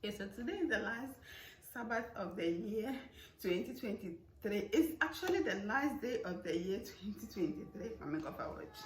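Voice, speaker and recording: A Ghanaian woman talking casually to her vlog viewers, her voice hoarse from the dry harmattan air. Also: medium pitch, sing-song, normal speed, a little hiss or hum, low-quality recording. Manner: chatty, mixes in local sayings. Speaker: vlogger.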